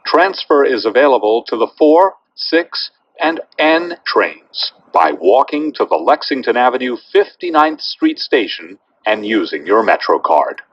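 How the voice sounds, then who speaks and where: A man's voice: medium pitch, very animated, a little slow, husky, dull audio. A man giving formal, instructing pre-recorded announcements on a subway train.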